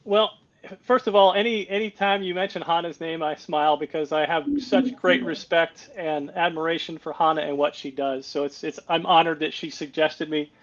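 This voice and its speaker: A middle-aged American man, a guest on a podcast, talking in a relaxed, self-deprecating way and often restarting his sentences over a video call. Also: fairly high pitch, moderate pace. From United States. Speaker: guest, policy advisor. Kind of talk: conversational interview. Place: home on a Greek island. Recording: dull lo-fi call audio.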